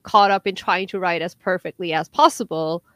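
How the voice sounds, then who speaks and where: A woman's voice: lowish voice, moderate pace, a bit bright, no room sound. A young woman from Norway, a podcast co-host chatting casually and animatedly, with many restarts and fillers. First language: Norwegian. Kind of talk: casual chat.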